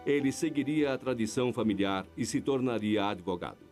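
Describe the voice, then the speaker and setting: A male narrator gives a documentary voice-over at a middling pitch, his delivery measured and even. Light music runs quietly underneath.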